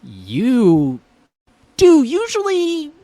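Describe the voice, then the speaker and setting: A man's voice: very high voice, huge pitch swings, extremely slow, warm tone, no room sound. A man speaking as a neurologist guest in an interview, thinking aloud as he explains clinical points conversationally.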